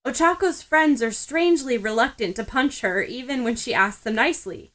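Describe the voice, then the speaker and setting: A woman's voice: a little high, moderate pace, fairly bright tone. A woman reading scripted story narration as a podfic narrator.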